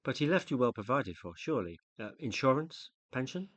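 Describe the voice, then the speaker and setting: A man with a British accent playing a polite, flattering, inquisitive suitor with a hesitant manner in a radio play. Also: mid-range voice, brisk, no room sound. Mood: flattering, probing. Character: tentative, flattering, inquisitive male suitor of a widow.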